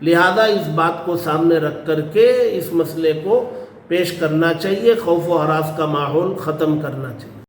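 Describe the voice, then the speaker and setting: Male cleric, notably high pitch, formal and earnest with halting phrases, faint noise behind. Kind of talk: public statement to media. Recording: roomy echo, faint background noise.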